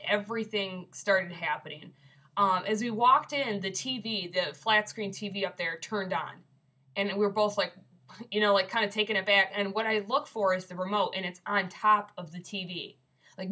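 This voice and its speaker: Congested woman, bright chatty voice. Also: medium pitch. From Michigan. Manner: chatty, rambling with many fillers. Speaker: ghost story submitter. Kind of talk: casual video submission. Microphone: webcam.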